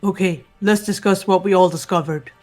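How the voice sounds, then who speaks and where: A performer voicing a composed, formidable young female fighter in a fan game playthrough, slow, deliberate and declamatory. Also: mid-range voice, steady tone, a little mellow, soft background music, natural voice. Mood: stately, proud. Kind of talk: amateur fully voiced game playthrough.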